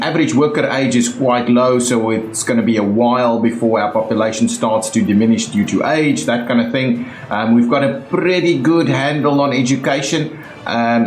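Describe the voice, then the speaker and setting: A man's voice: medium pitch, echoey room, rough recording. A young South African man with a South African accent, narrating a city-building game in a chatty way while he thinks aloud, with lots of ums and uhs. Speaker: gaming YouTuber. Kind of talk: casual game commentary.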